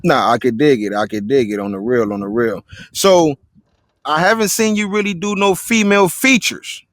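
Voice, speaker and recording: A man's voice: a little high, moderate pace, a bit bright, no echo. A man hosting a casual live interview, talking laid-back in street slang and often asking "you feel me". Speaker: interview host.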